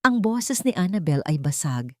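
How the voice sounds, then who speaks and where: A woman's voice: on the low side, very animated, moderate pace, mellow, dry recording, studio quality. A woman reading a dramatic romance story aloud as narrator. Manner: dramatic storytelling, reads all parts herself.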